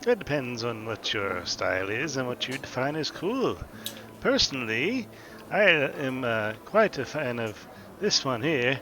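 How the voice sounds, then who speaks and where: A man's voice: mid-range voice, very animated, measured pace, sharp tone, slight background noise, pitched above the performer's own voice. A man voicing a fussy male hat shop proprietor who talks hesitantly and politely. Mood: proud.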